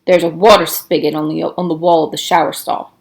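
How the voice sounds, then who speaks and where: A woman's voice: sits low, level tone, brisk, creaky, pitched below the performer's own voice. A woman voicing tough, cocky teenage boys at a camp, blunt and challenging, in a storybook reading. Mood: menacing.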